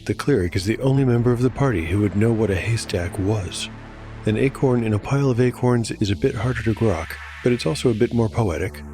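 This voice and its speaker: A man narrating a scripted audio drama as game master, with a measured storyteller's delivery. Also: on the low side. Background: music.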